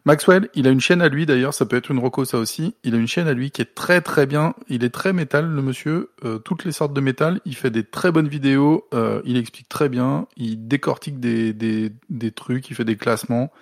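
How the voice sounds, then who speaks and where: A man's voice: mid-range voice, moderate pace, hardly any pauses, dry recording, studio quality. A man chatting casually as a podcast co-host, colloquial and joking. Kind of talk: casual music podcast chat.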